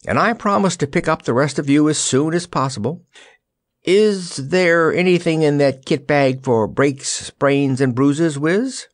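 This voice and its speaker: A man doing a high, jaunty scarecrow. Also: measured pace, dry recording, studio quality, lightly acted. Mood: boastful. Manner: mocking, jaunty. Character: teasing, boastful male straw scarecrow.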